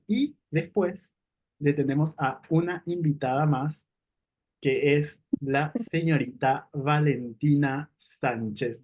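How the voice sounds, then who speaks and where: A man's voice: mid-range voice, a little mellow, low-quality recording. A man introducing the guests on a casual talk show in a friendly way, often ending sentences with a questioning tag.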